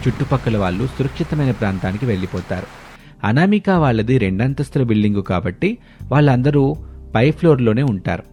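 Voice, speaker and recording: A man narrating an animated moral tale as a scripted storyteller. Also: medium pitch, fairly quick, soft background music.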